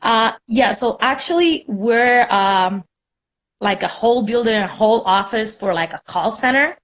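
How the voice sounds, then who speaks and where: A woman's voice: a little high, animated, measured pace. Young Puerto Rican woman chatting on a call. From Puerto Rico. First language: Spanish. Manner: rambling, many fillers. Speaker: caller on a live stream. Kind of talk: casual chat.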